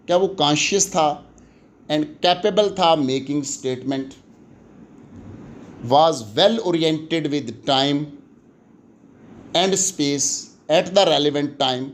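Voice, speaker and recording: A man with a Pakistani accent lecturing in an explanatory way, deliberate and emphatic, switching between languages as he teaches. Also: fairly high pitch. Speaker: law lecturer.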